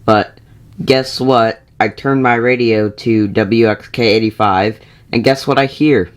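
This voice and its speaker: A man's voice: mid-range voice, steady tone, measured pace. A man giving casual commentary in a wry, mildly exasperated way, as a hobbyist narrating a video.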